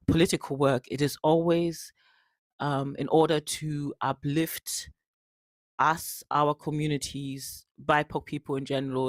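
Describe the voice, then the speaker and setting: Middle-aged woman, halting, dry close sound. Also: sits low, dry recording. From Germany, Ghana and the UK. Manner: halting, deliberate delivery with long pauses. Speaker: political party member introducing herself. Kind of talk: spoken self-introduction at a Q&A. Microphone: handheld or passed microphone, close.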